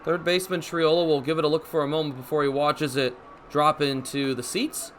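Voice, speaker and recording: A man's voice: fairly high pitch, little pitch movement. A man in his twenties doing radio baseball play-by-play as the announcer, with a descriptive delivery. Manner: descriptive, measured delivery that rises on action. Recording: clear background ambience noise.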